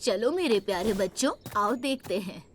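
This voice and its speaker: A woman voicing a kind, gentle grandmother rabbit in a dubbed cartoon, soothing and reassuring with the children. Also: medium pitch, animated, moderate pace, slight background noise, lightly acted. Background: children's music.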